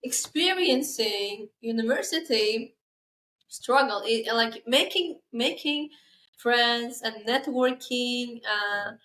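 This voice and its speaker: A young woman in her final year of university hosting a podcast, asking questions in halting, filler-heavy English with eager agreement. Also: fairly high pitch, a bit bright, rough recording. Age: young adult. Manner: enthusiastic, halting non-native English with many fillers. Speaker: podcast host. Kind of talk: casual interview chat.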